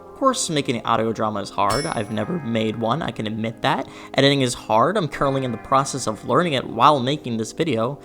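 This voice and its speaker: A person delivering a scripted, opinionated video essay in an expressive, emphatic way with conversational asides. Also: mid-range voice, a little fast. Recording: music behind the voice. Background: background music.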